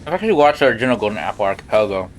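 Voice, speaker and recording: A man's voice: fairly low pitch, sing-song, rapid-fire, a little hiss or hum. A man giving casual, offhand live reactions as he streams a video game. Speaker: game livestreamer.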